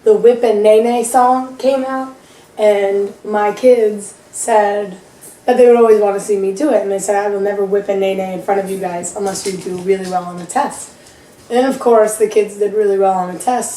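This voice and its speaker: A young woman in her early twenties talks about teaching math as a podcast guest, rambling and poking fun at herself. Her voice is mellow and soft-edged. She sits a little away from the mic in an echoey room. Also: medium pitch, fairly even intonation, moderate pace, far from the mic. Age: 20s. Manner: laughs easily, self-deprecating, rambling. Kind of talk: casual chat.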